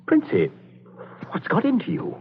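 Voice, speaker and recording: An actor in 1940s radio style playing a hearty, pompous English captain who talks in bluff, clipped phrases. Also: male voice, high voice, wildly swooping pitch, a little slow, creaky, faint music behind, exaggerated voice. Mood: startled. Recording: muffled old radio broadcast.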